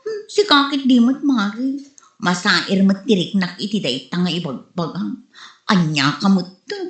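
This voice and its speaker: A woman's voice: medium pitch, expressive, unhurried, reverberant, close to an everyday voice. A woman with an Ilocano Filipino accent playing a defensive, hardworking vendor in a comedy drama, protesting in exasperation. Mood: exasperated. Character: gossiped-about woman insisting she has changed, defensive, hardworking vendor. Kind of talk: comedy radio drama acting.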